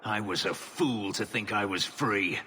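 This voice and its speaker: An actor playing a brooding male elf, an escaped slave and warrior, speaking in a grave, measured, bitter way. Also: fairly low pitch, sharp tone, a little hiss or hum. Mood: grim. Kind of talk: video game voice acting. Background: game music score.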